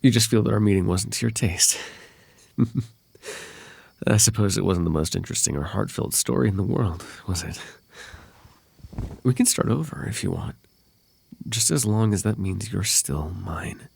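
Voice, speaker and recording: A man playing a possessive, lovelorn fae royal heir in an intimate ASMR roleplay, with halting, tender delivery and formal, old-fashioned wording. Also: on the low side, lively intonation, gravelly, a little mellow, husky, dry recording, full character voice. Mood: relieved, clinging.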